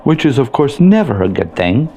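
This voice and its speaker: A man's voice: medium pitch, big pitch swings, moderate pace, warm tone. A man giving casual, laid-back commentary as he plays a video game, half talking to himself. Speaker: gamer and video host. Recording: faint background noise.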